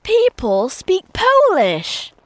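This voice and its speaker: A woman doing a very high, lively young boy. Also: extremely slow, dry recording, heavily acted. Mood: bright. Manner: clear, careful, sing-song delivery. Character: cheerful young boy at summer camp. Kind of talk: scripted textbook dialogue.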